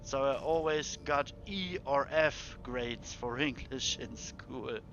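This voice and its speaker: A man's voice: mid-range voice, slow, dull audio, slight background noise. A man with a German accent, a native German speaker, streaming a game and chatting hesitantly, searching for words and sometimes dropping to a whisper. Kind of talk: live-stream gameplay chat. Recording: game sounds loud behind voice.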